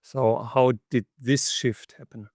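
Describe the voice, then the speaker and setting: Male interviewer, mid-pitch gravelly voice, hesitant questioning, dry booth. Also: fairly even intonation, takes it slowly, darkish tone, dry recording. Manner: hesitant questioning, many ums. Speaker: podcast host.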